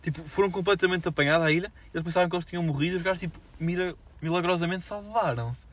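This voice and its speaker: A young man with a European Portuguese accent and a fairly high voice. He talks very fast in casual, unscripted podcast chat, leaning on slang and filler words. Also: a bit bright. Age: young adult. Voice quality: slangy, filler-heavy speech. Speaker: podcast co-host.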